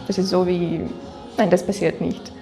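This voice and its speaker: A woman's voice: on the low side, level tone, over music. An eighteen-year-old woman from Ukraine, speaking hesitantly with many fillers in a documentary interview. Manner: hesitant, many fillers, slow and searching for words. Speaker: interviewee, dancer.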